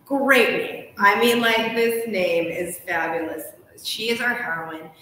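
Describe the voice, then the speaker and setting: A woman's voice: mid-range voice, unhurried, pushed and bright. A woman in her thirties hosting a live interview chat, talking in an enthusiastic, emphatic way with many casual "likes". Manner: enthusiastic, emphatic, uses "like" often. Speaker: host, interviewer. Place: large hotel room, indoors. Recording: echoey room, distant mic, faint noise.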